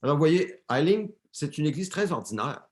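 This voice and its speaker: A man's voice: on the high side, very animated, moderate pace, a little mellow. A man interpreting a sermon live for a church, often repeating and correcting words as he translates.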